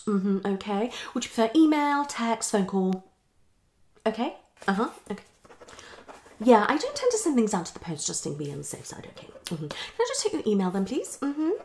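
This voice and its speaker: A woman's voice: a little high, a little mellow, a little hiss or hum. A woman in her thirties with a British accent acts out a psychologist in an ASMR roleplay, asking questions theatrically with murmured acknowledgements. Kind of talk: ASMR roleplay, one-sided consultation. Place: small living room at home, indoors.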